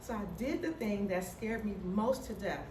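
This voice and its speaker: A woman's voice: medium pitch, normal speed, a little mellow, slight background noise. Woman who stutters, roomy distant sound. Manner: stutters, candid and humorous. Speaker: speaker telling her story. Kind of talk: personal story talk to an audience. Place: hall before an audience.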